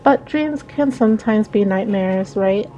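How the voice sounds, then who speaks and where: A woman's voice: medium pitch, measured pace, warm tone, dull audio, faint music behind, lightly acted. A woman voicing a lonely, wistful female passenger in a visual novel, musing and hesitant. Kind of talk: visual novel read-aloud.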